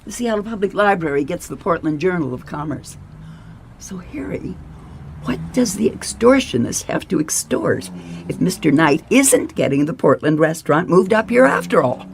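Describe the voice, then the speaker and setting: Detective's keen assistant, high male voice, very creaky, curious, radio drama, faint hiss. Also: very animated, normal speed. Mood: excited, revealing. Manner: inquisitive, keen. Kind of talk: radio mystery drama acting.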